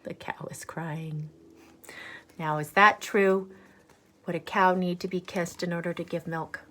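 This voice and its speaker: A woman reads a children's story aloud at a slow, careful pace. She is expressive and teacherly, often stepping out of the text to chat with her listeners. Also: lowish voice, a little hiss or hum. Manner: animated, teacherly, pauses for questions. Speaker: storyteller reading a picture book aloud.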